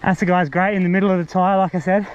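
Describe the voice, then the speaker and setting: Aussie guy chatting casually while riding his mtb, highish voice, lots of wind noise on the action cam. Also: little pitch movement, fast. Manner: laid-back, fragmented musing while riding. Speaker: mountain bike vlogger. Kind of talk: casual ride-along gear commentary. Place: outdoors on a bushland trail, riding a bike. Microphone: action camera worn while riding. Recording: muffled action-camera audio, loud wind and trail noise.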